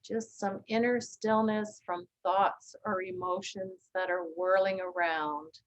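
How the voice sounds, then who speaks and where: A woman leading a guided body scan meditation, speaking gently and calmly with long, unhurried pauses. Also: mid-range voice, poor audio. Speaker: meditation teacher.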